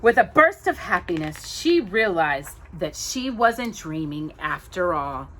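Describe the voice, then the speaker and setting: Bright, very expressive woman storyteller. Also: fairly low pitch, slow, a little hiss or hum, rough recording. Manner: dramatic, emphatic. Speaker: storytime reader. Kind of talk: expressive read-aloud children's story narration.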